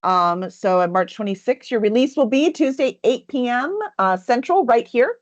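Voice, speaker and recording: A woman's voice: on the high side, expressive, moderate pace, no room sound. Chatty woman on a dull line. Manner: enthusiastic, chatty, many fillers. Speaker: publisher's show host. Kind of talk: casual interview chat. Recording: dull, dry lo-fi sound.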